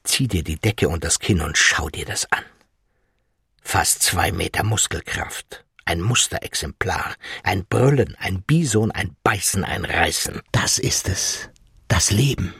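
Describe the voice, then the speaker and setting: A man reading dramatic literary narration as an audiobook narrator, with a very raspy voice. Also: low-pitched, measured pace, a bit bright, no echo, clean studio sound.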